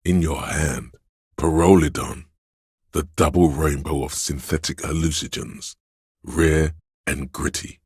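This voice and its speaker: A man's voice: fairly even intonation, unhurried, slightly rough, no echo, clean studio sound, heavily acted. A man playing a seductive, indulgent inner voice of craving in a video game, narrating in the second person with a theatrical, coaxing, savoring delivery. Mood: savoring, hypnotic. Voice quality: very deep, resonant.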